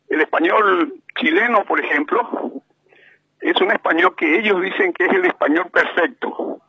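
A man with a Paraguayan Spanish accent, calling into a radio show and speaking slowly with many hesitations. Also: high voice, creaky, slightly rough, no echo. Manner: slow and measured, with many hesitations. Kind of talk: slow deliberate radio commentary. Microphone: telephone. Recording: muffled phone line.

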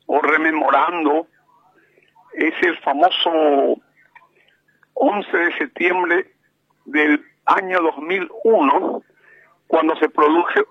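A man with a Paraguayan Spanish accent, calling into a radio show and speaking slowly with many hesitations. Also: on the high side, slightly rough, no echo. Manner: slow and measured, with many hesitations. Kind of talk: slow deliberate radio commentary. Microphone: telephone. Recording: muffled phone line.

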